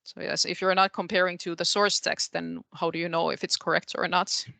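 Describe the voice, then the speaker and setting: A woman with a Finnish accent and native Finnish, answering questions as a guest researcher in an academic discussion with hesitant, measured phrasing. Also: on the low side, steady tone, vocal fry, pushed and bright, dry recording. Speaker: guest speaker, translation researcher.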